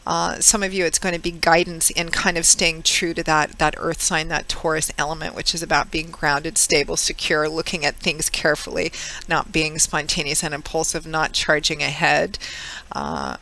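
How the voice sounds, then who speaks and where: Hoarse froggy woman doing a chatty tarot reading, bright gravelly voice, lots of ums. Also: normal speed, poor audio. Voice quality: slightly froggy, squeaky. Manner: chatty, with many fillers. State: hoarse from a cold. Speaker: tarot reader. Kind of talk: casual monthly tarot reading.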